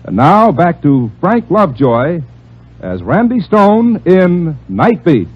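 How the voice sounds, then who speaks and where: High-pitched male vintage radio announcer. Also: very animated, takes it slowly. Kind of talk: 1950s radio announcing, scripted promotion. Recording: dull old radio broadcast.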